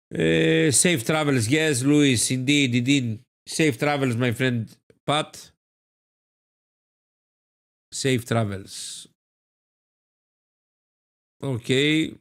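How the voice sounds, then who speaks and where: Slow, effusive Greek-accented man. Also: mid-range voice, creaky. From Greece. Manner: effusive, repeats phrases, calls viewers "my beloved friend". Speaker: live-stream trading host. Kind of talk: live-stream commentary with chat reading.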